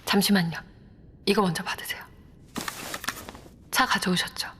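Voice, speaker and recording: A woman's voice: medium pitch, fairly even intonation, normal speed, background noise, close to an everyday voice. A woman acting a composed police inspector in an audio drama, formal and matter-of-fact. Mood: composed, official. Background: background music.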